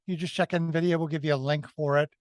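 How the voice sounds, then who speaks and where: A man co-hosting a review discussion, explaining his views casually and haltingly, often repeating and correcting himself. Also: on the high side, fairly even intonation, dry recording, clean studio sound. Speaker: podcast co-host reviewing films.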